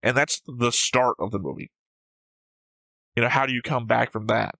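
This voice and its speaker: A man's voice: medium pitch, normal speed, gravelly, bright, forceful tone, no echo, low-quality recording. A man who hosts a reaction show, talking casually and discursively about a series, rambling and swearing freely.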